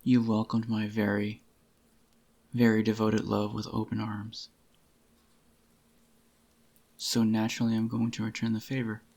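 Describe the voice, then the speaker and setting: A man playing a possessive, devoted boyfriend in a soft-spoken, intimate ASMR roleplay, tender and obsessive, with a groggy, gravelly voice. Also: fairly low pitch, monotone. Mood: devoted, adoring. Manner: tender, slow reassuring endearments to a lover.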